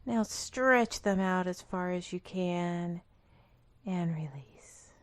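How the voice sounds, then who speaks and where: A woman leading a guided meditation in a calm, gentle, instructional manner. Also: fairly low pitch, slow, smooth. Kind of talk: guided body scan meditation.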